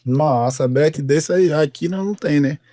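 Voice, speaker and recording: A man, co-hosting a podcast in a relaxed and reflective way. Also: fairly high pitch, moderate pace, dry recording, clean studio sound. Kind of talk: podcast conversation.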